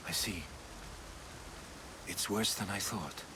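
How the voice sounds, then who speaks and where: An actor playing a grave, principled older Greek man, a loyal advisor in a video game cutscene, measured and earnest. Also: sits low, background noise.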